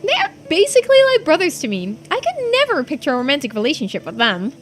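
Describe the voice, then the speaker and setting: A woman voicing an excitable teenage girl diver in a video game, blurting things out casually. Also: high-pitched, fast talker, pitched above the performer's own voice. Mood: flustered denial. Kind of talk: video game character voice-over. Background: music.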